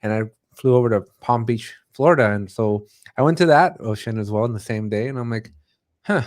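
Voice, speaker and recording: A man's voice: mid-range voice, brisk. A man hosting an interview in a casual, conversational style. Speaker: host.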